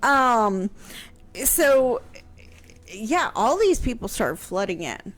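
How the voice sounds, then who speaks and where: Slow, careful woman explaining history. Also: fairly high pitch, very animated, fairly bright tone. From Kansas, USA. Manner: slow, careful, halting with fillers. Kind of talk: radio talk show discussion.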